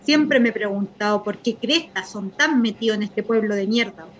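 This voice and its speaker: A woman playing a defensive, irritable young Chilean woman with a sharp tongue in a naturalistic radio drama. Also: medium pitch, normal speed, highs cut off, natural voice. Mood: annoyed. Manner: curt, irritable.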